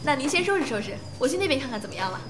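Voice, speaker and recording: A woman's voice: high voice, sing-song, rapid-fire. A woman playing a courteous, bustling radio station staffer in an audio drama. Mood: friendly, busy. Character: brisk, apologetic female radio station staffer. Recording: clearly noisy background ambience.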